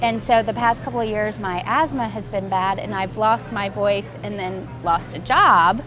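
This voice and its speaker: A woman voice actress chatting in an interview, upbeat and quick to laugh. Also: medium pitch, music in the background, poor audio. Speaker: voice actress guest. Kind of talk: casual interview chat.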